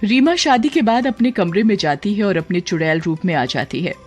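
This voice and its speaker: A woman's voice: medium pitch, animated, moderate pace. A woman storyteller reading scripted narration for a cartoon story, over music.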